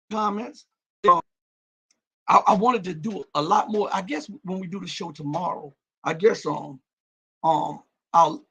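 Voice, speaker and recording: Gravelly male live-stream host, high-set voice, raspy and cracking at times, emphatic, mutters his asides. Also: a bit bright. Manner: emphatic, repeats words and restarts, drops his voice to mutter asides. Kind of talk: live-stream commentary, reading viewer comments.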